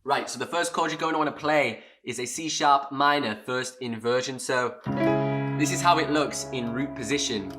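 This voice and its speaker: Hoarse British man teaching a song. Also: medium pitch, moderate pace, over loud music. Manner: relaxed and chatty, explains step by step. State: hoarse, lost voice. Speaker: music teacher. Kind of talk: instrument lesson.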